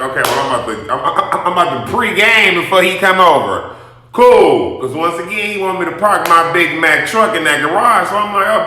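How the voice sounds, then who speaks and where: Sharp-voiced man ranting in a very echoey room. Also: on the high side. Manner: emphatic, blunt, profanity-laden, addresses listeners directly. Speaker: storytime host addressing an audience. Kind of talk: casual animated storytelling, rant.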